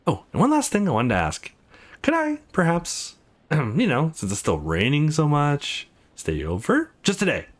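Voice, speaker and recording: A man's voice: on the high side, huge pitch swings, normal speed. A man voicing an upbeat, friendly young protagonist in a visual novel, playful and coaxing. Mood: pleading.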